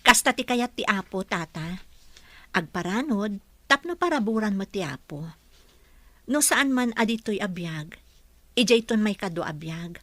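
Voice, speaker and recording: A woman acting a gentle, devout mother and wife in a radio drama. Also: medium pitch, lively intonation, a little slow, pauses often, no echo, natural voice. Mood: kindly, earnest.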